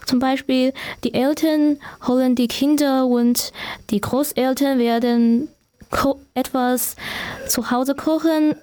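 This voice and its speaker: A woman from China with a Chinese accent, a guest giving prepared answers on a student radio show, careful and with pauses. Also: high-pitched, steady tone, a little mellow, smooth.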